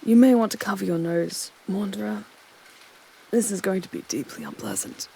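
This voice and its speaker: A woman's voice: mid-range voice, big pitch swings, moderate pace, creaky, dark tone, slight background noise, low-quality recording. A woman playing a charming, sardonic demon guide in an ASMR audio roleplay, murmuring breathily with wry, formal phrasing. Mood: dry, casual.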